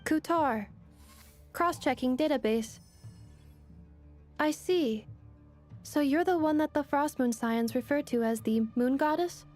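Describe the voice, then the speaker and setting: A female game robot with a high, clean-cut delivery. She is composed and formal, reporting matter-of-factly as faint music plays. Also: a little slow, long pauses, darkish tone. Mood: analytical. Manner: precise, formal, matter-of-fact. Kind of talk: video game character acting.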